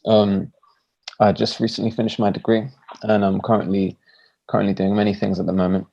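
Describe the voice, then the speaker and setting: A man's voice: lowish voice, little pitch movement, a little fast, vocal fry, warm tone. A young man with a British accent talking casually as a podcast guest. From UK, born and raised. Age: young adult. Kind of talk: casual self-introduction and discussion. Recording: dull, lo-fi video call audio.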